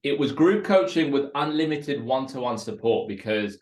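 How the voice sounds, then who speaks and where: A man's voice: mid-range voice, expressive, moderate pace. A man, a Russian speaker, speaking as an entrepreneur guest in a casual interview. He talks with energy and often repeats words as he builds his points. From Russia, raised in West London. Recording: roomy echo.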